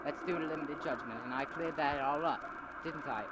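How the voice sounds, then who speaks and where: A man playing an earnest, idealistic revolutionary leader in an amateur audio drama, speaking in a halting, philosophizing way. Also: on the high side, lightly acted. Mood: insistent. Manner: halting, stumbling, philosophizing delivery. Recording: very noisy amateur early-1990s home recording.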